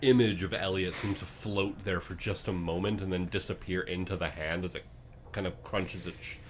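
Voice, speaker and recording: A man running a tabletop role-playing game, improvising narration with hesitant phrasing and dramatic pauses. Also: low voice, fairly even intonation, a little hiss or hum. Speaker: game master.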